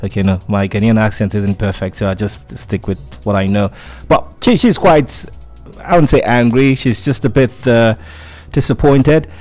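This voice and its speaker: A man's voice: fairly low pitch, fairly even intonation, moderate pace, darkish tone, faint music behind. A man hosting a radio show, chatting through entertainment news with lots of fillers and restarts.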